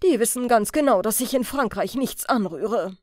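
A woman's voice: on the high side, moderate pace, no room sound, studio quality, lightly acted. A woman voicing a hot-tempered, boastful male master thief in an audiobook, scornful and emphatic. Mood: angry.

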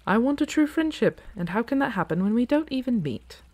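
A woman playing an earnest, playful dragon in human form in a fantasy ASMR roleplay, with a casual, teasing, halting delivery. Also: fairly high pitch, sing-song, darkish tone, dry recording. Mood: pleading.

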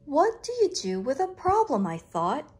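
A woman reading a picture book aloud to children, with expressive, deliberate, dramatic phrasing. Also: high-pitched.

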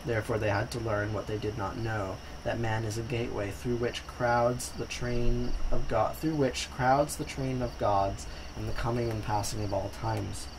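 A young man reading a mystical text aloud in a slow, solemn way, in a small room at a camera on his desk. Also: fairly low pitch, fairly even intonation, background noise. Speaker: reader. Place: small decorated room indoors.

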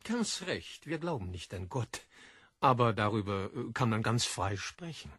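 Pompous, animated literary editor. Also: male voice, on the low side, measured pace, breathy edge, dry recording, studio quality, exaggerated voice. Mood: smugly certain. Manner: didactic, pompous. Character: self-assured, learned male literary editor, lecturing. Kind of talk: radio play acting.

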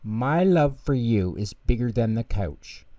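A man's voice: mid-range voice, animated, measured pace, dark tone, dry recording, dull audio, lightly acted. A man voicing a big, kindly male bear in a children's storybook, patient, reassuring and affectionate. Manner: reassuring, thoughtful, explanatory. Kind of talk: children's storybook character reading.

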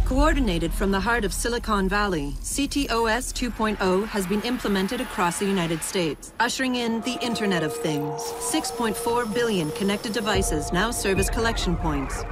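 A woman playing a serious narrator who delivers scripted, documentary-style warnings in a deliberate, ominous manner. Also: medium pitch, close to an everyday voice. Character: serious female narrator warning of surveillance and hacking. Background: music.

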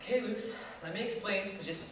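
A teenage boy playing a nervous young impostor in a high school stage play. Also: brisk, close to an everyday voice. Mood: evasive. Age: teens. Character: young male impostor nervously explaining himself. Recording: echoey auditorium stage from far off, noisy.

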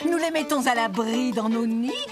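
A woman voicing a gentle, grateful mother crocodile in a children's cartoon. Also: on the high side, moderate pace, close to an everyday voice. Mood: tender, grateful. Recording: music behind the voice.

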